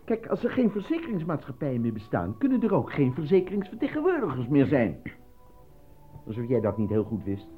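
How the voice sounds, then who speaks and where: An actor in a Dutch radio play voicing a proud, defensive, self-important out-of-work actor and husband. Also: male voice, medium pitch, wildly swooping pitch, fairly quick. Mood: indignant pride. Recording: dull lo-fi radio recording, faint music.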